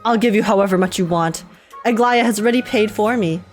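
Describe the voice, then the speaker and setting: A woman's voice: mid-range voice, fairly quick, soft background music. A woman streamer giving casual, joking, blunt and slangy live reaction commentary on a game.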